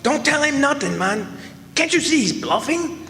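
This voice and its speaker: An actor in a live radio play playing a hot-headed male gigolo crook who shouts in a high, strained voice. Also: sing-song, moderate pace, exaggerated voice. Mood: defiant. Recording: echoey hall, mic from far off.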